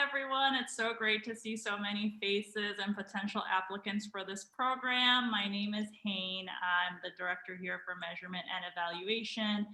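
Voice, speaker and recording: Bright, emphatic woman in an echoey room. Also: medium pitch. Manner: enthusiastic, emphatic. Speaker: program director. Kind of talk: online info session talk. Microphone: video call.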